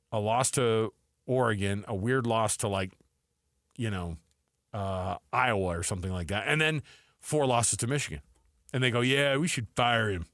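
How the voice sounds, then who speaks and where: A man's voice: mid-range voice, fairly bright tone, no echo, clean studio sound. A man co-hosting a sports radio show, talking casually and animatedly, acting out what others might say.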